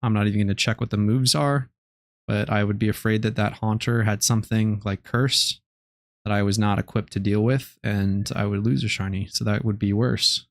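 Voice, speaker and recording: A young man streaming a game live, chatting casually in a low-key, relaxed way with many ums and restarts. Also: normal speed, vocal fry, no room sound, clean studio sound. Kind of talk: casual live-stream chat while gaming.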